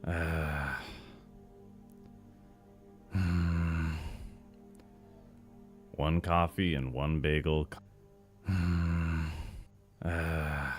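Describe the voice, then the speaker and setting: A man voicing a depressed cafeteria worker in an animation, with a deep, gravelly voice and a weary, sighing delivery. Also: fairly even intonation, drawn-out words, pauses often, full character voice. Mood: weary, resigned. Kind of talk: animated series voice-over takes. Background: background music under the voice.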